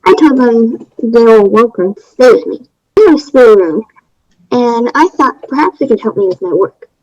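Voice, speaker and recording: A woman's voice: on the high side, lively intonation, moderate pace, darkish tone. A woman playing a prim, aggrieved fairy-tale woman, matter-of-fact and self-justifying, in readers theater. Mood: explaining. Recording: dull, lo-fi microphone sound.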